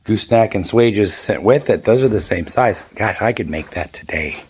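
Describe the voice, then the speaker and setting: A man in his fifties with a raspy, hoarse voice, chatting casually and rambling through a boat repair vlog. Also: lowish voice, animated, moderate pace, a little mellow, a little hiss or hum. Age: 50s. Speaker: boat owner vlogger.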